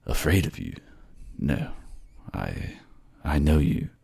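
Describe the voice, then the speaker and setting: A man voicing a grumpy, battered but tender warrior king, gruff, weary and halting, in a fantasy audio drama. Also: extremely low pitch, sing-song, dark tone, exaggerated voice. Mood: fond.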